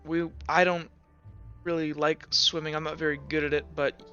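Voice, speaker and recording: A man voicing a candid, tearful teenage noblewoman in a tabletop game, confiding in a halting, hesitant way. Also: fairly high pitch, level tone, pushed and bright, clear, resonant tone, highs cut off, faint music behind. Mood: sad confession.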